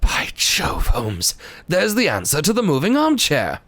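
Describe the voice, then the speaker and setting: A man playing a loyal, bumbling doctor who speaks in a slow, bewildered way in an audio drama. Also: fairly high pitch, huge pitch swings, fairly bright tone, heavily acted. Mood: earnest.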